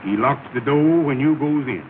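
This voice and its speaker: A man's voice: medium pitch, normal speed, lightly acted. An actor playing a pompous, bossy male lodge leader in a 1930s film comedy, speaking in a grand, ceremonious way. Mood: commanding. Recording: tinny, hissy 1930 film soundtrack.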